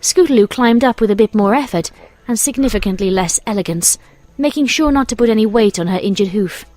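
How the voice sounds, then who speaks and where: A woman's voice: mid-range voice, expressive, fairly quick, a little mellow, no echo. A woman reading scripted storybook narration as the narrator.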